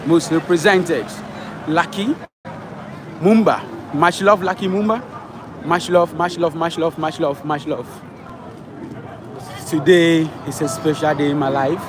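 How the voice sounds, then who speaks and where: A man's voice: fairly high pitch, unhurried, pauses often. A man vlogging fervently from a street march, repeating his rallying lines. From Ghana. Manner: fervent, repetitive rallying speech. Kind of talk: outdoor street vlog commentary. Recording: loud crowd noise outdoors. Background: chanting crowd and sirens.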